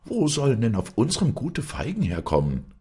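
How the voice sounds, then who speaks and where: A bossy, indignant lady of the manor from a storybook, acted out by a man. Her voice is pushed far up and swoops wildly as she scolds and screeches, with a husky edge. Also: fairly low pitch, very animated, unhurried, voice pushed up, exaggerated voice. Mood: angry. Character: shrill, bossy, indignant wife of a country squire. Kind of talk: dramatized storybook reading.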